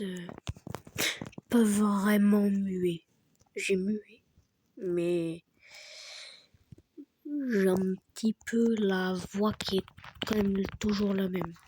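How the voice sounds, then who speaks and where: Young man with a childlike high voice. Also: a little hiss or hum. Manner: soft, half-whispered, rambling. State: stuffy with a head cold. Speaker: gaming YouTuber. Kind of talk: gameplay commentary.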